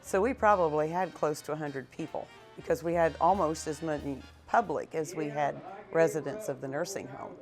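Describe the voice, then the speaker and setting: Low-voiced woman reminiscing. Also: normal speed, few pauses, faint music behind. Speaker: radio theater member. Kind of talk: interview.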